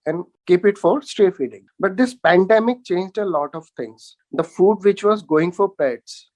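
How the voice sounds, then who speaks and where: A man with an Indian accent speaks as a panel guest in a fairly high voice. He recounts his experience in an informal, conversational style. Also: rough recording. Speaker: panelist, animal feeding entrepreneur.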